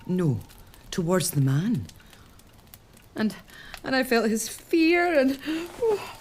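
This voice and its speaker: A woman's voice: fairly high pitch, wildly swooping pitch, slow pace, a little hiss or hum, heavily acted. A woman playing a young pregnant noblewoman in a period audio drama, earnest and wry. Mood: frightened.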